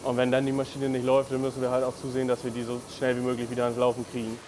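A man's voice: medium pitch, completely flat delivery, very fast, a little hiss or hum, rough recording. A man in his twenties from northern Germany, a service technician, speaking in a plain, matter-of-fact way with filler words. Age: 20s. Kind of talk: casual interview answers.

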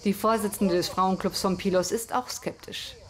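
A woman's voice: medium pitch, moderate pace. A woman reading scripted narration for a television news report. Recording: voice over music bed. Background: music.

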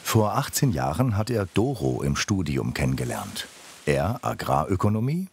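Male TV narrator, very low voice with a lively melodic range, scripted and matter-of-fact, with steady noise under the recording. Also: moderate pace. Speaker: documentary narrator.

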